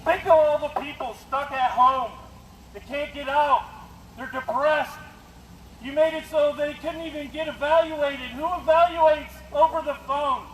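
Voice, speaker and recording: A man's voice: extremely high pitch, unhurried, a bit bright. A man giving a confrontational, accusing protest speech through a bullhorn, hammering out his words outdoors. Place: outdoors at a street rally, traffic noise behind. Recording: noisy echoey outdoor street recording.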